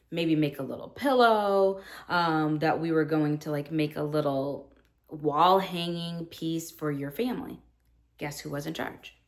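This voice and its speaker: A woman teacher in her thirties talking earnestly to other teachers about classroom practice, acting out what she says to students. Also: low-pitched. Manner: earnest, animated, acts out her own quoted lines.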